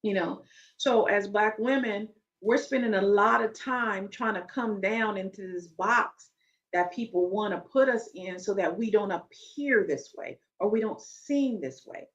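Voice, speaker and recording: A woman with a deep, loud voice giving an educational talk, emphatic and often checking in with "okay?". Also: unhurried. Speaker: video commentator. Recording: muffled, distant video playback.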